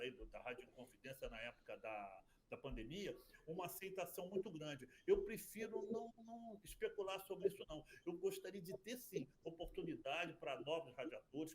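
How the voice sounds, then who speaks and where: A man's voice: on the high side, slight background noise, poor audio. A Brazilian man giving a rambling interview answer about radio drama, often restarting his sentences. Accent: Brazilian Portuguese. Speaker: guest, radio drama producer.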